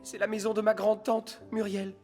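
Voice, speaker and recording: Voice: mid-range voice, level tone, normal speed, darkish tone, faint music behind, lightly acted. An actor voicing a jittery, dramatic man trapped in a cartoon haunted house. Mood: resigned, explaining. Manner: dramatic, alarmed. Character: jittery trapped man, great-nephew of the house's late owner. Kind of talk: French animated series dubbing.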